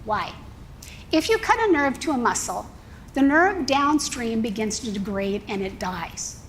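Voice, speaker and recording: A middle-aged woman from Canada, a scientist pitching her research in a clear, explanatory presentation. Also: big pitch swings, a little slow, a little hiss or hum, rough recording.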